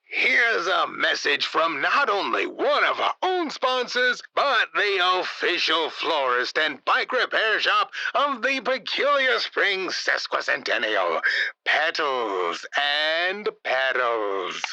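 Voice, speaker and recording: Slow, flustered small-town radio host. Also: male voice, on the high side, big pitch swings, bright, forceful tone, no room sound, heavily acted, low-quality recording. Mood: folksy, upbeat. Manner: halting, giggly, rambling. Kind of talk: comedy radio broadcast acting.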